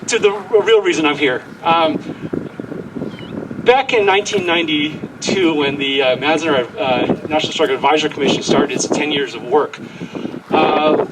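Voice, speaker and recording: A man's voice: on the high side, moderate pace, far from the mic. A middle-aged or older man giving an informal, emphatic tribute speech at a windy outdoor event. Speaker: committee speaker introducing an honoree. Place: outdoor event in strong wind. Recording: windy outdoor mic, loud wind noise.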